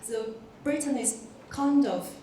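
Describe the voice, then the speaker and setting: A woman's voice: fairly high pitch, slow, mellow. A woman in her thirties from China, a doctoral student introducing herself on a panel in a friendly, joking and slightly nervous way. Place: large conference hall. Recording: echoey hall, distant mic, some noise.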